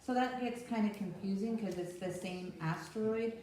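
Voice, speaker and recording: A woman's voice: mid-range voice, normal speed, mellow. A woman leading a children's story time, explaining things simply and giving instructions directly to young children. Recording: echoey room, distant mic, faint noise.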